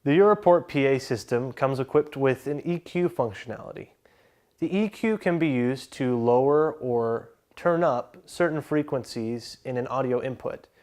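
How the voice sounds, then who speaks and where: A young adult man presenting an instructional tutorial, explaining step by step in a measured way. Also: medium pitch, animated, a little mellow. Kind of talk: instructional narration of an equipment tutorial.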